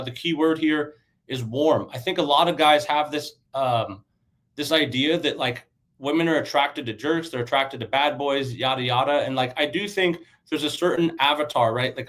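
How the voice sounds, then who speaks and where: A man in his thirties, stuffy and a little raspy from a sinus infection, giving loose conversational dating advice as a coach and often checking in with "right?". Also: fairly high pitch, a bit bright. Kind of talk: casual livestream coaching talk.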